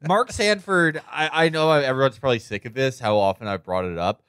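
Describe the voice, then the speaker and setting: A man's voice: medium pitch, a little fast, a bit bright, smooth, no echo, studio quality. An American man hosting a podcast, talking in casual comedic banter with a crude, profane, rambling manner. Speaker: podcast host.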